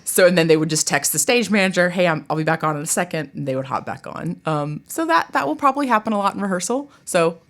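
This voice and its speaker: A woman with a low-set voice talks in a loose, chatty way. She is a guest answering interview questions as a theatre director, keeping it informal and conversational. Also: lively intonation, fast, few pauses, a bit bright. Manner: informal, chatty, laughs easily.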